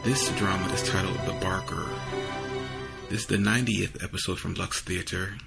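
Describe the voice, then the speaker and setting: A man with a gravelly voice hosting a show, giving a casual, prepared introduction to an episode. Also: low voice, steady tone, moderate pace, over loud music. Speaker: podcast host.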